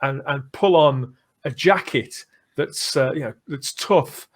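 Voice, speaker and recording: A man's voice: mid-range voice, slow. A man hosting a casual fan podcast, talking in a conversational way, often rephrasing himself and checking for agreement. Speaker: podcast host.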